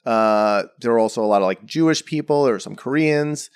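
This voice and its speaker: A man's voice: medium pitch, brisk, clean tone, no echo. A young man from the Bronx, a painter chatting casually in an interview and filling his talk with "like" and "you know". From the Bronx, New York. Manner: rambling, heavy on "like" and "you know". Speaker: painter guest.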